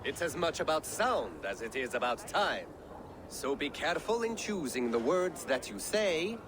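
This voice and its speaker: A man's voice: a little high, very animated, normal speed, noisy background. A man playing a jovial, witty Viking poet in a video game, theatrical and lilting. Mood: theatrical, rhyming. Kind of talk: theatrical video game acting, declaiming rhymes.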